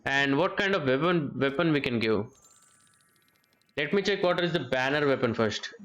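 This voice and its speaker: A man's voice: medium pitch, lively intonation, moderate pace, a bit bright, muffled recording. A man giving casual live game commentary, thinking aloud and often repeating his phrases. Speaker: game streamer. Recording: game music behind the voice. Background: video game music.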